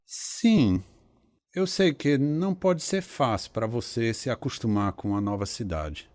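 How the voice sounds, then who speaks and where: A man's voice: mid-range voice, lively intonation, a little slow, a little mellow, dry recording, studio quality, lightly acted. An actor playing a kindly, polite, caring male neighbour with a Brazilian accent in a radio drama. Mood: concerned.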